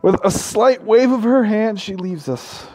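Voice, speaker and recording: A man's voice: high-pitched, unhurried, mellow, poor audio. A man hosting a gaming video, reading visual novel text aloud and adding casual, irreverent commentary. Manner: irreverent, sweary heckling between read passages.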